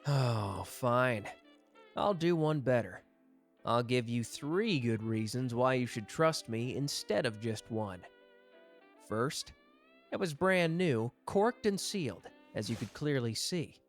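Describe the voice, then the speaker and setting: A man's voice: medium pitch, lively intonation, takes it slowly, faint music behind. A man acting a suave, teasing young mafia executive with a love of fine wine, speaking playfully to the listener in a roleplay audio. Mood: mildly exasperated.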